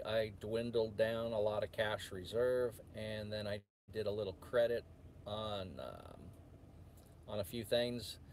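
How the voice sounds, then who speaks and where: A man's voice: medium pitch, a little hiss or hum. A man chatting casually to viewers as a truck driver vlogger, rambling and often restarting his sentences in a hesitant, meandering way. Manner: hesitant, meandering, self-interrupting.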